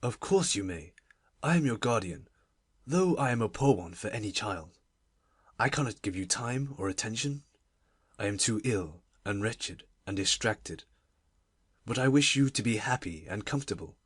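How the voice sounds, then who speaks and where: A woman voicing a miserable, absent-minded middle-aged gentleman in an audiobook, husky, fretful and muttering. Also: a bass voice, animated, normal speed, dry recording, studio quality, voice pushed down, exaggerated voice. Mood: weary, worried.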